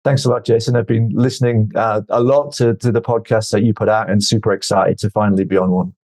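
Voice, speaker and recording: A man being interviewed as a venture investor, explaining his points in a measured way and often repeating words as he starts a sentence. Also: lowish voice, fairly even intonation, a little mellow. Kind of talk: podcast interview answers.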